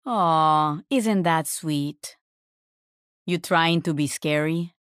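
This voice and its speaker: Cool, mocking female game fighter: very slow, teasing, close and dry. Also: low-pitched, no echo. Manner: teasing, sardonic, drawling.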